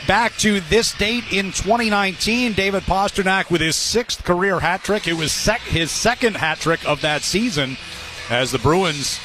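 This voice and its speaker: A man's voice: high voice, unhurried, noisy background. A man from the United States calling live hockey on the radio as play-by-play announcer, with a dry, wry sense of humour. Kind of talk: live sports commentary. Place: arena broadcast position.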